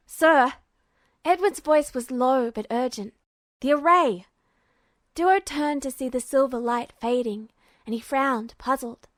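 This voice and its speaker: Female audiobook narrator for fan fiction: high register, scripted storytelling, close dry sound. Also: normal speed, no echo.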